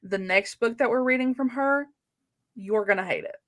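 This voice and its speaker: A woman's voice: fairly high pitch, moderate pace, fairly bright tone. A woman chats casually as co-host of a live readalong. She talks in an effusive, rambling stream sprinkled with "like", and speaks candidly, with an informal, unfiltered bluntness. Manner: effusive, rambling, uses lots of "like". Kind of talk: casual book chat.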